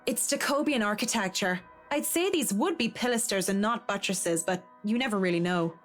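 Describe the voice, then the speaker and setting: Woman playing a matter-of-fact architect, medium pitch. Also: expressive, normal speed, soft background music, close to an everyday voice. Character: knowledgeable female architect, measured and matter-of-fact. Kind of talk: video game voice acting.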